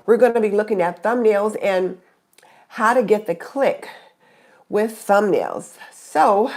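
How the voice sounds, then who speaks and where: Middle-aged woman host, stuffy-nosed from a cold, loose chatty live-stream delivery, mid-range pitch. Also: slow pace, darkish tone. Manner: chatty, greets viewers by name. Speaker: YouTube channel host. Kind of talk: casual live stream chat.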